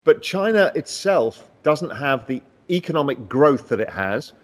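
A man's voice: a little high, measured pace. A man talks through economic trends with easy confidence. He leans hard on his key points and keeps it conversational. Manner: confident, explanatory, animated emphasis. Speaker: macro analyst in a finance interview. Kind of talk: conversational market discussion.

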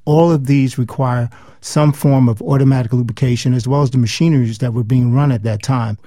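A man with a dark, mellow voice at a medium pitch answers as an interview guest. He explains in a conversational way, with a dry, close sound. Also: steady tone, fairly quick, dry recording.

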